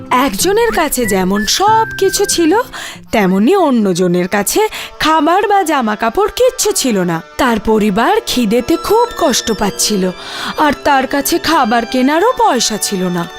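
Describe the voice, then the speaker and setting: A female storyteller narrates a cartoon fairy tale from a script. Her voice is fairly high and animated in its intonation. Gentle music plays faintly behind her.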